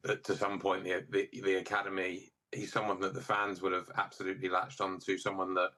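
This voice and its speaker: A man speaking as a football pundit in a broadcast panel discussion, measured and analytical. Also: low-pitched, completely flat delivery, bright, forceful tone.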